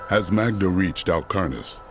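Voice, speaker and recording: An actor voicing a stern, resolute holy warrior hero in a game. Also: male voice, deep voice, a little slow, noisy background. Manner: stern, gravelly, solemn. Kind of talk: game cutscene acting and narration.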